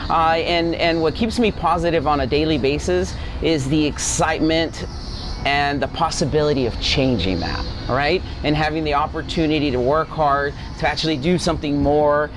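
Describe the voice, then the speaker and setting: A man's voice: a little high, moderate pace. A forty-year-old man from Guatemala telling a personal story earnestly as an interview guest, often checking for agreement. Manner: earnest, seeks agreement with tag questions. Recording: outdoor traffic noise. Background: street traffic and vehicles.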